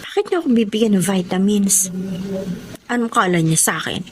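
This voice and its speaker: An actress with a Filipino accent playing a jealous, insecure, impulsive young daughter in a radio drama. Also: female voice, medium pitch, fairly quick, darkish tone, slight background noise, close to an everyday voice. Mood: guarded, proud.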